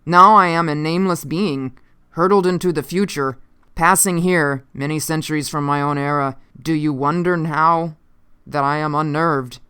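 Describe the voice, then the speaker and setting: A narrator voicing an uncanny, gaunt male scientist from another time, speaking formally with slow, commanding emphasis in an audiobook. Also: medium pitch, fairly even intonation, bright, forceful tone, smooth, close to an everyday voice. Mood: unnerved, intense.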